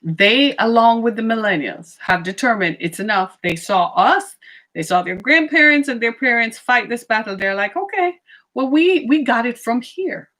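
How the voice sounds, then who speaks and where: A middle-aged woman giving an impassioned monologue, full of rhetorical questions and sudden swings in pitch and pace. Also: lively intonation, moderate pace, a bit bright. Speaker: speaker on racism.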